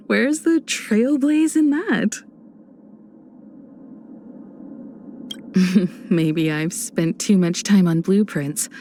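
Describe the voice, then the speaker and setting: An actress voicing a composed adult woman space traveler in a video game, a warm mentor who speaks in a measured, reflective, gently teasing way. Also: a little high, a little hiss or hum. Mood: lightly playful. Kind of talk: video game character voice lines.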